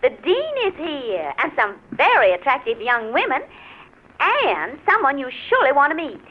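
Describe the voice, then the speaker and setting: An actress playing a fluttery, effusive society hostess who scolds playfully and coyly, in old radio drama style. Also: female voice, high-pitched, huge pitch swings, moderate pace. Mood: coaxing, coy. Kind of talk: 1940s radio acting. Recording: dull, faintly noisy old radio recording.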